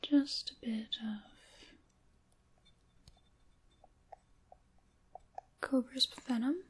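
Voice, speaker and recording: A woman playing a sly, chaos-loving dark witch in an ASMR roleplay, whispering breathily and speaking softly with theatrical, menacing politeness. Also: sits high, steady tone, a little slow, exaggerated voice. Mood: intimate, conspiratorial. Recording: clearly audible background noise.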